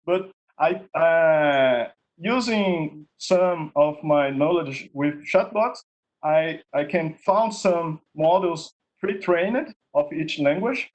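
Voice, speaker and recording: A Portuguese-accented man, a native Portuguese speaker, demos his project on a video call. His voice sits a little high and he talks slowly. He stops and restarts often and keeps checking in with "okay?". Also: rough recording. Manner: halting, repeats words, ends points with "okay?". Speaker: contest winner presenting his project. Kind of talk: screen-share demo in an online meetup.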